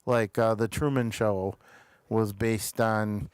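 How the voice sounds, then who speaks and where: A man hosting a casual review show, halting as he searches for words. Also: lowish voice, fairly even intonation, smooth, no echo, studio quality. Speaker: talk show host reviewing TV episodes.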